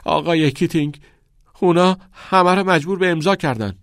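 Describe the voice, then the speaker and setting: A man's voice: high voice, a bit bright, no room sound, clean studio sound, voice pushed up. A man voicing a tearful, defiant teenage schoolboy who pleads urgently in an audiobook. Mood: desperate pleading. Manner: raised, urgent, emotional pleading. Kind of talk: audiobook character voice.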